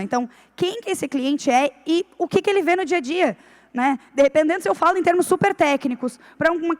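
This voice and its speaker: Woman, high voice, conference talk in a reverberant hall, explanatory, keeps checking in with the audience. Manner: explanatory, frequent "né" tag questions. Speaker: conference speaker.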